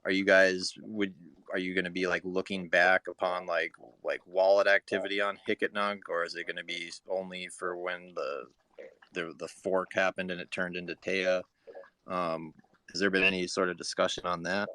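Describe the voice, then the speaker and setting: A man's voice: sits low, flat delivery, moderate pace, pushed and bright, dry recording. A man asking questions off the cuff in a casual online discussion, phrasing them tentatively. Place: live online audio room.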